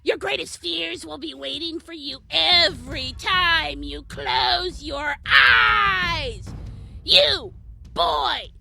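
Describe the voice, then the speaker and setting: A woman playing a malevolent, taunting hag demon in an audio drama, with menacing, mocking, drawn-out theatrical delivery. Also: very high voice, creaky, bright, forceful tone, full character voice. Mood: furious, threatening.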